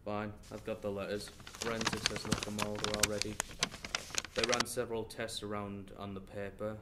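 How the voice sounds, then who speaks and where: A man's voice: on the low side, flat delivery, moderate pace, very noisy, close to an everyday voice. A man playing an earnest, exasperated investigator, a detective's indignant companion, in an audio drama. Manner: indignant, questioning.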